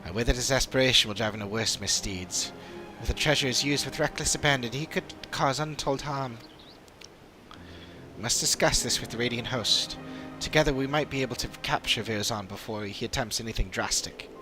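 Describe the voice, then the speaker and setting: A man voicing an earnest, formal fantasy adventurer in video game dialogue, with measured and serious delivery. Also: medium pitch, music in the background, lightly acted. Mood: serious, thoughtful.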